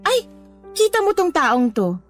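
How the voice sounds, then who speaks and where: A young live-in girlfriend in a radio drama who scolds and talks back. She has a high voice. Soft music plays faintly behind her. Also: sing-song, a little slow, full character voice. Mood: scolding. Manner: scolding, exasperated retorts. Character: patient but fed-up young live-in girlfriend.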